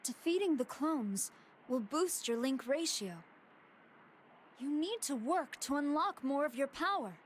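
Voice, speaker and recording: An actress playing a female android with a deliberate manner in an English anime game dub. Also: sits high, expressive, a little hiss or hum. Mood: composed, evasive.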